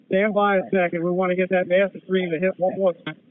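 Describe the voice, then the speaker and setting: A man's voice: sits high, level tone, fast, dry recording. A man working as a fire operations officer, giving urgent, procedural radio traffic over a two-way radio. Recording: narrow tinny radio channel with noise and clicks.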